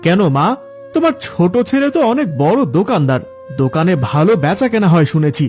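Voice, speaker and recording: A man's voice: sits high, very animated, a little fast, darkish tone. A man playing an irritable, evasive grown son in a cartoon story, with a complaining delivery. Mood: irritated. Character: neglectful adult son, irritable, evasive, hard-up. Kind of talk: cartoon moral story dialogue. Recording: music under the voice.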